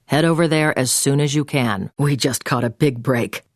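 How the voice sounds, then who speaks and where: An actress playing a brisk, commanding intelligence agent in a video game, giving mission orders in a businesslike, clipped way. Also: female voice, extremely low pitch, dry recording, clean studio sound. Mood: businesslike, urgent. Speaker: mission handler over the phone. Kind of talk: scripted video game voice acting.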